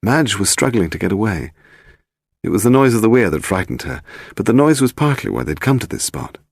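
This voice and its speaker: Male audiobook narrator, British, expressive scripted storytelling, creaky low voice, close dry sound. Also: a little fast, no room sound.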